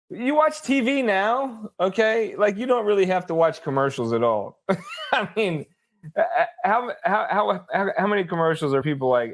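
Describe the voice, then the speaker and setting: A man, a sports journalist guest, giving casual unscripted commentary in a halting, think-aloud manner. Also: on the high side.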